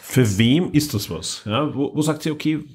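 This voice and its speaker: A man co-hosting a podcast about audio dramas, chatting in a relaxed way with some stumbles. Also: a little high, normal speed, slightly rough. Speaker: podcast co-host.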